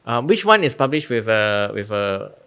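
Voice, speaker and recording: A man's voice: fairly low pitch, big pitch swings, normal speed, gravelly, a bit bright, clear, resonant tone. A man hosting a podcast, asking questions in a friendly, slightly hesitant way with short agreeing replies. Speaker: podcast host. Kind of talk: casual interview talk.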